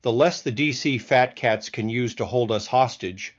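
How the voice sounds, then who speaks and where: A man with a medium-pitched voice delivers a scripted political monologue as a show host. His delivery is folksy and emphatic, built around rhetorical questions. The sound is slightly dull, with a limited band. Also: normal speed.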